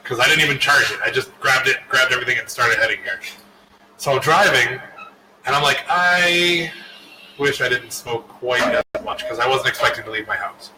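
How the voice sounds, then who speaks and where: A man's voice: mid-range voice, moderate pace. A man in his thirties co-hosting a podcast, telling a rambling, laid-back story. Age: 30s. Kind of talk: casual storytelling. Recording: echoey room with loud background noise.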